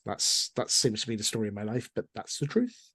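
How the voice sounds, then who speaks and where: A man's voice: on the low side, very animated, fairly quick, a bit bright, slightly rough, dry recording. Chatty middle-aged man in dry, close sound. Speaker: interview guest, audio drama producer. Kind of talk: casual conversational interview.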